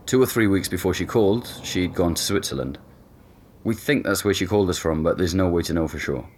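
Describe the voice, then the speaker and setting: An actor playing an easygoing young boyfriend being interviewed at home, casual and hesitant in a naturalistic audio drama. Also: male voice, low voice, brisk, a little hiss or hum, close to an everyday voice. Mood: candid, puzzled.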